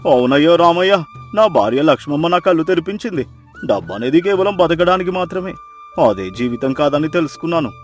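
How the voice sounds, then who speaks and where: Male actor as contrite ex-miser husband in a moral tale; higher register, dramatic, earnest, music underneath. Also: expressive, a little fast, lightly acted. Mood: grateful, resolved. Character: repentant former miser husband, humbled and emotional. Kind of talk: Telugu moral story character acting.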